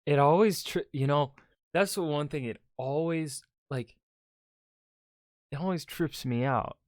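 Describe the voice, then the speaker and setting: A man with a Midwestern American accent giving casual reaction commentary, halting and searching for words with many fillers. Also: fairly high pitch, darkish tone, no echo, studio quality. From United States. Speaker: historian reacting to videos.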